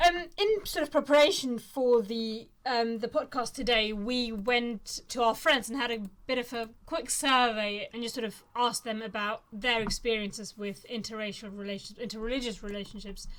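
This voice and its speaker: A woman born in Germany and living in the UK, co-hosting a casual podcast chat with quick asides and jokes. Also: a little high, fairly bright tone, low-quality recording. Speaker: podcast co-host.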